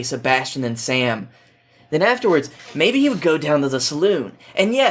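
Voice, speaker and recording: A man's voice: medium pitch, fairly bright tone. A man as a podcast guest, reading a story aloud and chatting casually. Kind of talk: fan fiction read aloud and casual podcast chat.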